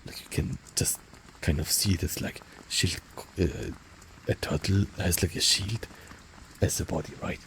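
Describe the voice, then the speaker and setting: A man teaching vocabulary in a relaxed lesson, often whispering and slowly repeating each word. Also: sits low, gravelly, a little hiss or hum, rough recording. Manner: soft-spoken, often whispers words, repeats them slowly. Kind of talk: relaxed spoken language lesson with whispered repetitions. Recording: faint rain in the background.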